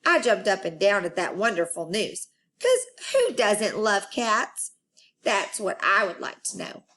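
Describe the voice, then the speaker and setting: A woman storyteller reading a children's book aloud in an expressive style. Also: medium pitch, a little slow, a little hiss or hum.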